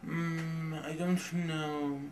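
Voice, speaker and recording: A young man with a Persian accent, speaking English as a second language, introducing himself in a halting, hesitant way full of pauses and fillers. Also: on the high side, little pitch movement. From Iran. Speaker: vlogger. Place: home in Tehran. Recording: distant mic, faint background noise.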